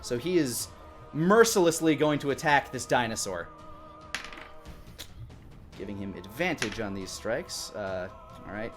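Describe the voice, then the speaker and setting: A man in his thirties narrates a tabletop fantasy game as game master. His pitch is mid-range and music plays behind him. He improvises the action and rulings on a close mic. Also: lively intonation, a little slow, pauses often.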